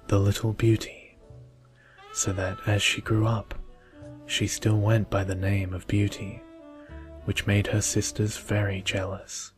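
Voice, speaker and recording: A young man speaks gently in a soothing ASMR reading style. His pitch sits a little low and stays very flat, with measured phrasing. Quiet music plays softly underneath. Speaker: video creator reading a bedtime story. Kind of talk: soft ASMR storybook reading and intro.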